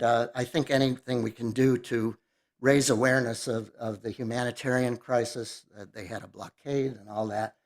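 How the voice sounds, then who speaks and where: A man giving an academic lecture in a relaxed, halting way with many fillers. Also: mid-range voice. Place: lecture hall.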